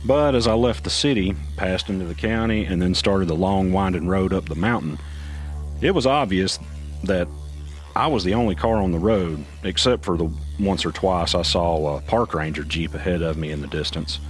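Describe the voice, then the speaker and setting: A man's voice: deep voice, moderate pace, soft background music, close to an everyday voice. An actor playing a brooding protagonist, narrating his story in scripted first person for an audio drama. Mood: brooding, tense. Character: brooding male protagonist recounting his flight.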